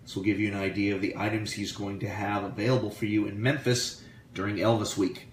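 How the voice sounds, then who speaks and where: Male American radio host, lowish voice, casual and rambling trivia reader, roomy sound with faint noise. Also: little pitch movement, a little fast. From United States (Florida). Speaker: radio show host and tribute musician. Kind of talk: casual radio show talk, reading trivia. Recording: roomy, distant mic with faint background noise.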